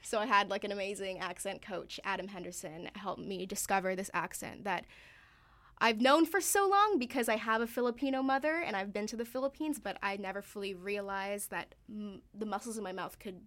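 A woman in her early twenties talks casually about her acting work in an interview. She is chatty and laughs easily, with a voice of ordinary pitch. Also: brisk, a bit bright. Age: 20s. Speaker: actor guest.

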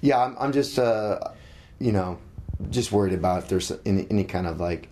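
A middle-aged musician being interviewed, talking casually with many halting restarts. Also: male voice, on the low side, dark tone, a little hiss or hum.

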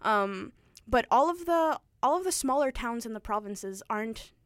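A woman's voice: on the high side, lively intonation, normal speed, dry recording, studio quality. A young woman from Argentina hosting a casual radio chat, talking with lots of likes and ums and laughing often. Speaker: radio host. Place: radio studio.

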